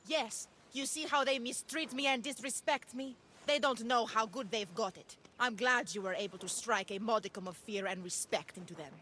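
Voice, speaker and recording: An actress playing a pious, bitter farm owner, complaining self-righteously in a video game cutscene. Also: female voice, fairly high pitch, moderate pace, hardly any pauses, pushed and bright, slight background noise. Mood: smug, relieved.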